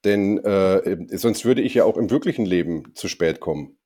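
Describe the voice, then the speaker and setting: A man co-hosting a casual chat, his voice a little hoarse from a cold, teasing dryly and pausing with many ums. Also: low voice, dark tone. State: slightly hoarse, recovering from a cold. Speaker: podcast co-host.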